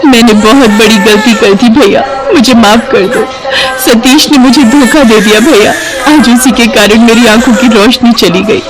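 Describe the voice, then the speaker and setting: A woman's voice: a little high, normal speed, over music, close to an everyday voice, rough recording. A woman playing a headstrong, wronged young wife and mother in an emotional, melodramatic cartoon story. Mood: distressed, tearful. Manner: emotional, pleading.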